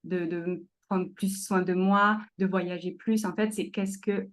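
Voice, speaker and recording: A woman's voice: fairly low pitch, steady tone, a little slow. A woman with a slight Canadian French accent, a creative coach chatting in an interview, full of hesitations and restarts. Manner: hesitant, many fillers and restarts. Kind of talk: casual conversational interview.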